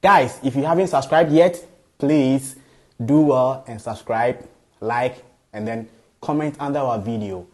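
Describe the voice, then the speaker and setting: A man's voice: mid-range voice, measured pace. A man with a Ghanaian accent hosting a casual interview show, often echoing back what is said to him. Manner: echoes the guest's phrases back.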